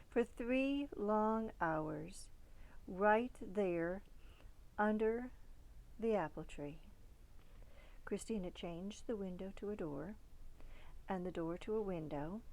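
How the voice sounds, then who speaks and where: A woman reading a children's picture book aloud in a deliberate way, pausing between short phrases. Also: medium pitch, gravelly, a little mellow, low-quality recording. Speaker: storybook reader.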